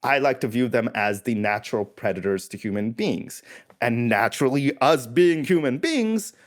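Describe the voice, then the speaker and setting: A man from India talks as a guest on a casual podcast, explaining things thoughtfully and often correcting himself mid-sentence. His voice sits at an everyday middle pitch. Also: expressive, normal speed.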